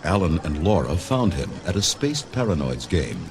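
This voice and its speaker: Deep, animated male storybook narrator. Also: normal speed, music in the background. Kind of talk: dramatic scripted narration.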